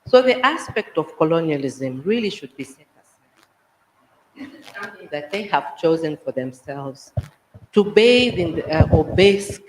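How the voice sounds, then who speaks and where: Soft-spoken woman in an echoey hall. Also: low-pitched, slight background noise. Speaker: audience member asking a question. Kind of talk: audience comment at a conference. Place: conference hall.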